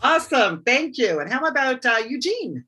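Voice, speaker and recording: A woman's voice: sits high, big pitch swings, moderate pace, sharp tone, breathy edge. A woman hosting an online networking webinar, upbeat, calling on guests one by one. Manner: upbeat, calls on guests by name. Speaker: webinar host. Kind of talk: casual online networking meeting.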